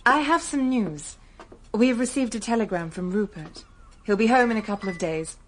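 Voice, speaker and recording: A woman's voice: medium pitch, animated, moderate pace, a little hiss or hum, lightly acted. A woman playing an enigmatic, matter-of-fact young upper-class woman in a radio drama. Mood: calm, detached. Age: young adult. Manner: composed, detached, matter-of-fact.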